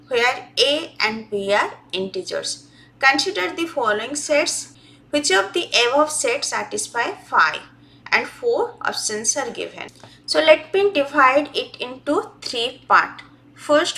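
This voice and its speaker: A middle-aged woman, deliberately reading out formulas as an exam-prep tutor in a screen-recorded lesson. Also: a little high, fairly bright tone, rough recording. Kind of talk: step-by-step lesson walkthrough.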